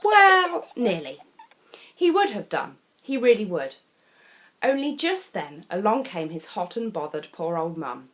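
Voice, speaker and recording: A woman narrates a children's picture book. Her pitch moves widely and her delivery is theatrical. She speaks straight to the young listener with chatty asides. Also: sing-song, measured pace, a bit bright. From England. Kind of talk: expressive children's storybook narration.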